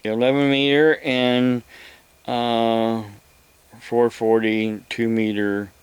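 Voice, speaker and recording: A man talks in a casual, rambling way, explaining things at a slow pace. His voice is very smooth and clear, with a halting, drawn-out delivery. The sound is dry and close, with hardly any room to it. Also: medium pitch, little pitch movement, no echo. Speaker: vlogger.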